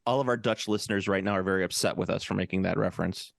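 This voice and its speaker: A man's voice: sits low, fairly even intonation, racing, gravelly, no echo, studio quality. A man co-hosting a podcast in casual chat, joking and rambling with many restarts. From United States. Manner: rambling, jokey, lots of restarts and fillers. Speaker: podcast co-host.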